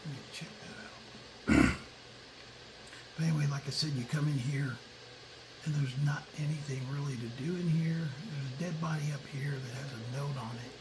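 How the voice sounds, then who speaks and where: A man with a scratchy voice giving a relaxed, halting walkthrough of a video game, explaining as he plays. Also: on the high side. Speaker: gameplay commentator. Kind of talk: casual let's-play walkthrough commentary. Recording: loud laptop hiss behind voice.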